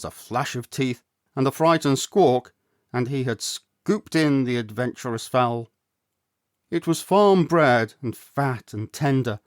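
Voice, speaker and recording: A man reading a story aloud as an audiobook narrator, expressive and theatrical, with measured delivery. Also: medium pitch, dry recording, clean studio sound. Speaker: audiobook reader, actor.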